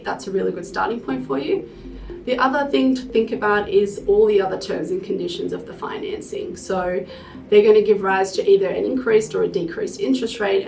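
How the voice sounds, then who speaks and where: A woman's voice: mid-range voice, gravelly, darkish tone. A woman hosting a professional explainer, talking in a structured, informal way. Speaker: host of a tax explainer series. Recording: roomy, distant mic, music bed.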